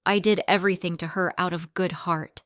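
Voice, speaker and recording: A woman's voice: on the low side, steady tone, fairly quick, no room sound, studio quality, lightly acted. A woman reading the lines of a young husband who argues back firmly and defensively. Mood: exasperated. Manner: firm, yelling back.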